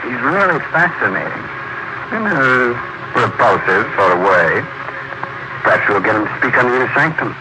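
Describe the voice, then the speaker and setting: An actor playing a ghoulish horror-show host in 1940s radio style, mock-polite and sardonic. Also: male voice, medium pitch, lively intonation, moderate pace, natural voice. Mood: sardonic, gleeful. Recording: noisy old radio broadcast recording.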